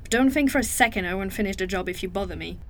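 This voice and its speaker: An actress playing a tough, cool, protective female fighter in an audio drama, deadpan and commanding. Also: mid-range voice, racing, natural voice. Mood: threatening.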